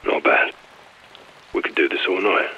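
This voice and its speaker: An actor playing a stoic, masked special forces lieutenant in a video game, clipped and darkly deadpan, with clear noise behind him. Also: male voice, unhurried, lo-fi sound. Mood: deadpan joking. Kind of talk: naturalistic video game acting.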